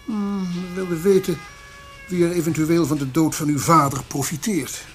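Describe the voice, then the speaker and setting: A man's voice: a little high, steady tone, normal speed, soft background music, natural voice. A male actor playing a polite police investigator in a radio drama, dry, understated and formal. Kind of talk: Dutch radio drama acting. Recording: faint background noise.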